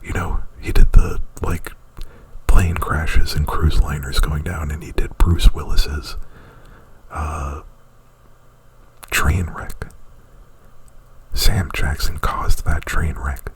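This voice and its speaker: A man's voice: rumbling low, fairly even intonation, slow, pauses often, husky, low-quality recording. A man doing casual ASMR, whispering breathily right up at the microphone in a rambling, informal retelling. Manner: rambling, informal, with frequent "like" and profanity. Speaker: ASMR performer. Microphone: very close to the microphone.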